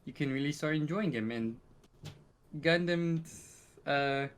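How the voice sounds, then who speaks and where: Male co-host, slightly high voice, casual podcast chat, informal. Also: takes it slowly, clear, resonant tone. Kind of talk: casual chat about favourites.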